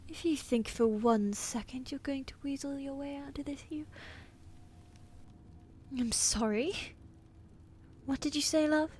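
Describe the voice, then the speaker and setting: A woman playing a fierce, commanding queen in a medieval fantasy audio roleplay, imperious and threatening, with a softer side for her husband. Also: sits high, unhurried, pauses often, a little hiss or hum. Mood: imperious anger.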